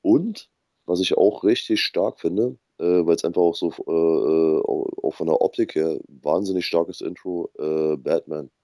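A man talks casually as a podcast guest. His voice is low and mellow, and he hesitates often. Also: measured pace, no echo, clean studio sound. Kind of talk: casual nerd chat.

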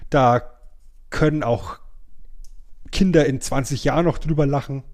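Male podcast co-host, middle-aged, medium pitch, informal and conversational. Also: unhurried, darkish tone. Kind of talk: casual chat, ranking old cartoons.

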